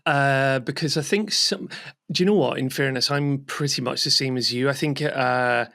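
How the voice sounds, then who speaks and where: A man's voice: mid-range voice, moderate pace, vocal fry, sharp tone, no room sound, studio quality. A man hosting a podcast, asking long, enthusiastic questions that wander and restart. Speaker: podcast host. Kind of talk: casual podcast interview.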